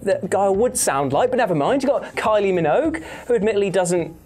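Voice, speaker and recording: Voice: medium pitch, brisk. Jokey, sweary presenter over background music. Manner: jokey, animated asides with swearing. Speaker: video channel presenter. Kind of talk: casual chat, film opinion. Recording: music behind the voice.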